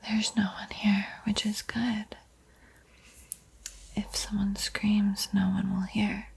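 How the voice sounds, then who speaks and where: A woman giving an ASMR art tutorial in a breathy whisper, with a soft, unhurried, playfully eerie and teasing manner. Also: mid-range voice, little pitch movement. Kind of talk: whispered ASMR painting tutorial with spooky roleplay.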